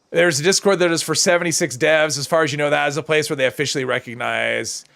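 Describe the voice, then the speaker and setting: A man's voice: a little high, brisk, vocal fry, a bit bright. A man streaming a video game, chatting casually and answering his viewers' questions as he plays. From United States. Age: older than high school, adult. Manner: casual, chatty, reads and answers chat while playing.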